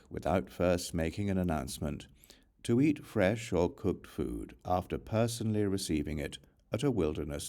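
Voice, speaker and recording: A man reading scripture as an audiobook narrator, in a measured, formal recitation. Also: low-pitched, mellow. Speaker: audiobook reader.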